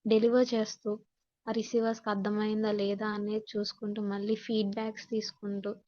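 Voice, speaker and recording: A woman's voice: medium pitch, little pitch movement, a little fast, mellow. A woman with a Telugu accent teaching a class, explaining points and switching between Telugu and English, in a dull, muffled recording. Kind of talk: online class lecture, reading points in English then explaining.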